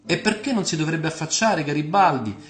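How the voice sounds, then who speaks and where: Man playing a guarded young newcomer, raised pitch. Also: animated, brisk, roomy sound, faint music behind, natural voice. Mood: irritated, challenging. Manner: terse, challenging, questioning. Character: guarded, irritable, curious young male newcomer.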